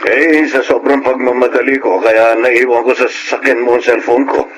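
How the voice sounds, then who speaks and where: A man's voice: mid-range voice, steady tone, moderate pace, fairly bright tone, a little hiss or hum, natural voice, rough recording. A man acting a grateful, generous businessman in a Filipino radio drama, with a courteous, genial manner.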